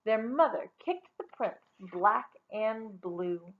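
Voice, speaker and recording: A woman reading a children's story aloud in an expressive storytelling style. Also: mid-range voice, takes it slowly, phone-quality sound.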